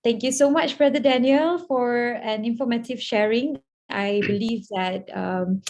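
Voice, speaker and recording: A woman runs the question session of an online webinar. She speaks in a fairly high voice, polite and formal as she reads out questions and thanks the speaker. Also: a little mellow. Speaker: webinar moderator. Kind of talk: moderating a webinar Q&A. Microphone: video call.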